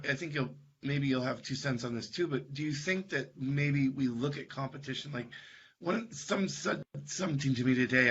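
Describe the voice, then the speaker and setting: A man hosting a podcast, asking casual, halting questions while losing his voice. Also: medium pitch, pushed and bright. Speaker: podcast host. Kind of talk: podcast interview. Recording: dull lo-fi audio with faint noise.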